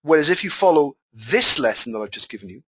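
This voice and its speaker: Husky man teaching a webinar. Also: on the high side, big pitch swings, quick pace, a bit bright. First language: Welsh. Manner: emphatic, repetitive, walks through screen steps. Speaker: online marketing trainer.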